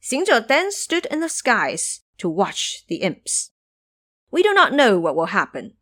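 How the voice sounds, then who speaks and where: A woman narrates a fantasy story with very lively, expressive intonation. Her delivery is scripted and dramatic, in the manner of an audio storybook. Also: mid-range voice, slow.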